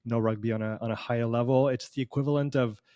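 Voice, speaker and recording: A man's voice: medium pitch, fairly even intonation, fast, warm tone, no room sound. A man from Switzerland with a Swiss accent that carries a slight Canadian sound, telling his story as a guest in a casual online interview, rambling along with many fillers. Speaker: performance coach guest. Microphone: video call.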